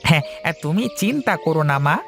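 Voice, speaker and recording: An eager young son from a village fairy tale cartoon played by a man. His intonation is very animated, jumping up and down. Music sits behind him. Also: on the high side, a little fast, vocal fry.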